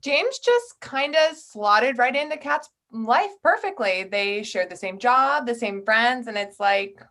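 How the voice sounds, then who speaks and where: A woman's voice: fairly high pitch, lively intonation, unhurried, a bit bright. A woman playing a candid maid of honor, the bride's old friend, in a comedy table read.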